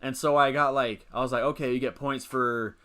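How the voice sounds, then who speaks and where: A man's voice: medium pitch, steady tone, fairly quick, fairly bright tone. A young man in his twenties hosting a casual live stream, rambling as he reads and answers the chat. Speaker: livestream host.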